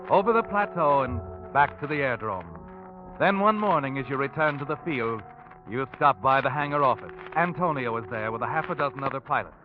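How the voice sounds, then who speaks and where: An actor playing an ominous, knowing narrator in a 1940s radio mystery, telling the story in suspenseful style. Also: male voice, fairly high pitch, lightly acted. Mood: brooding. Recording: tinny old radio broadcast. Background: prominent music.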